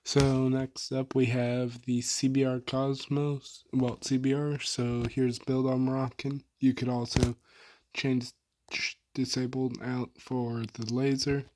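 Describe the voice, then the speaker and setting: A young man with a hoarse, tired voice, casually running through his favorite weapon builds with lots of fillers and trailing sentences. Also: mid-range voice, level tone, slow, a little mellow, slight background noise. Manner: laid-back, filler-heavy, trailing off. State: hoarse, soft on mic. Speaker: gaming YouTuber.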